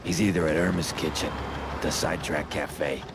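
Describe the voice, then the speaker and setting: An actor playing a laconic motorcycle shop worker in a video game. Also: male voice, extremely low pitch, steady tone, normal speed, heavy background noise. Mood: matter-of-fact.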